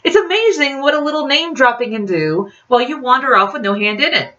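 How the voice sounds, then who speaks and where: A woman's voice: high voice, lively intonation, a little fast, sharp tone, voice pushed up. A woman voicing a male rogue in a fiction reading, flippant, exasperated and pleading in manner. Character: smooth-talking, pleading male rogue, notorious crime lord. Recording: dull lo-fi audio.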